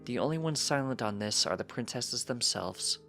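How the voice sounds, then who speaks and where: A man voicing a sensible, proper mother pony in a storybook, precise and formal, calm but firm. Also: mid-range voice, normal speed, soft background music. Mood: reassuring, composed.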